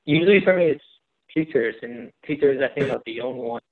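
A man's voice: mid-range voice, a little fast. A young man, a student, giving a thoughtful answer in class with many hedges like "you know.". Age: young adult. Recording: tinny phone-like call audio.

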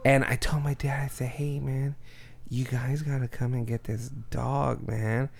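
A man's voice: mid-range voice, level tone, measured pace, creaky, a little mellow. A man hosting a podcast, chatting casually and swearing often, with lots of "man" and "dude". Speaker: podcast host. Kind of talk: casual chat.

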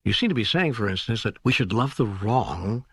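A man playing an earnest, puzzled questioner of God in an audiobook dialogue, hesitant and husky-voiced. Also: on the low side, animated, brisk, fairly bright tone, no room sound, studio quality, heavily acted. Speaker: author and co-narrator.

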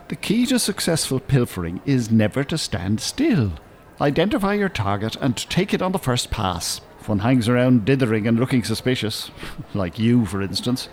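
An actor playing an older retired teacher in a radio drama, with precise formal wording and dry sarcasm. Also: male voice, medium pitch, wildly swooping pitch, moderate pace, slight background noise. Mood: smug, instructive. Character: prim, sardonic retired teacher, older man.

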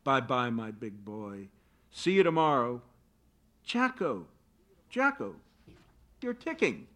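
A man's voice: medium pitch, big pitch swings, slow pace, a bit bright. A man reading the part of a fretful, doting wife and mother in a staged play reading, pleading and emotional.

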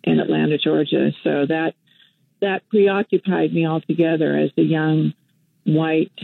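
A woman's voice: low-pitched, flat delivery, unhurried, gravelly, no echo. An older woman from Western Canada, a guest activist and journalist, speaking on the phone in a reflective, storytelling way with many fillers and restarts. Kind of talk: casual interview on the phone. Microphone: telephone. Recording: tinny phone line.

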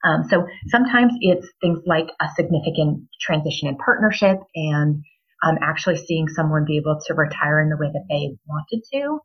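Low-pitched business-coach guest, 40s, measured delivery full of pauses; muffled lo-fi audio. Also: female voice, lively intonation, slightly rough. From New Hampshire, US. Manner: measured, many ums, thoughtful pauses. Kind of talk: interview conversation. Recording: dull lo-fi audio.

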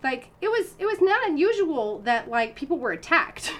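A woman's voice: sits high, moderate pace. A woman in her thirties from the United States, telling her story in a casual interview with many fillers, restarts and laughs. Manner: animated, frequent fillers and restarts, laughs often. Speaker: interview guest, violinist.

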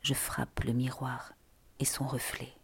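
A woman reads literary prose as an introspective narrator. Her voice is very husky and breathy, often close to a whisper. She takes her time, with a slow, measured delivery. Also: extremely low pitch, level tone. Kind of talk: literary scripted monologue, poetic reading.

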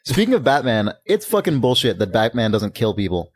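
A man's voice: mid-range voice, sing-song, fairly quick. Casually profane man on a gaming podcast. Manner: profane, dryly joking. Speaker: video game podcast host. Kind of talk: casual chat about games.

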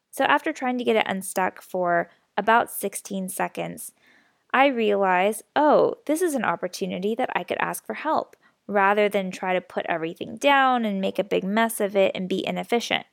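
Slightly raspy woman podcast host, gravelly. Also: mid-range voice, dry recording, clean studio sound. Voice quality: a little raspy. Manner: candid, self-deprecating, chatty. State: slightly sick, stuffy nose. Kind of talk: personal storytelling podcast.

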